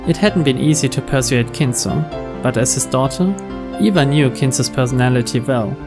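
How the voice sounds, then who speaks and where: A narrator reads a visual novel's story text from a script. The voice sits at a medium pitch, with music playing behind it. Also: normal speed. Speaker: narrator reading the game's translated text. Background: background music.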